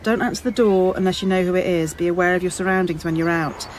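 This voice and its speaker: An actress in a radio drama playing a composed police officer, speaking in a measured, formal, careful manner. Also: female voice, lowish voice, steady tone, a little hiss or hum, natural voice. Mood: cautioning. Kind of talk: naturalistic radio drama acting.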